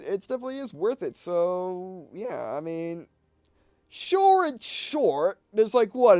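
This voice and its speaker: A man's voice: high voice, a little mellow, smooth, no room sound, studio quality. A man giving casual, unscripted game commentary as a let's-play host, rambling and hesitant, often correcting himself mid-sentence.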